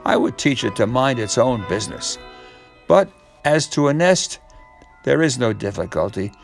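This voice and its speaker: A man voicing a sly gentleman fox from a storybook, speaking in a formal, genteel, over-polite manner. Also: medium pitch, very animated, moderate pace, creaky, soft background music. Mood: suave, obliging. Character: sly, courteous gentleman fox with oily charm. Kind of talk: storybook character reading.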